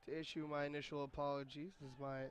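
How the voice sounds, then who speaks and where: A man with a raspy, hoarse voice doing solo play-by-play commentary of a soccer match, chatty and quick to correct himself. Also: fairly high pitch, level tone. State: hoarse voice recovering. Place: outdoor stadium.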